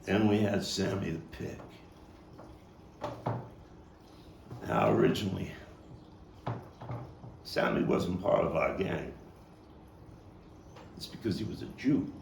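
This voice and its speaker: A man performing a theatrical monologue as a coarse, wry, streetwise Brooklyn man looking back on his boyhood gang, telling it with dramatic pauses and emphatic swells. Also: sits low, very animated, creaky, exaggerated voice. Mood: earnest, gritty. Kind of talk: theatrical monologue, table read. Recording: roomy, distant mic, faint noise.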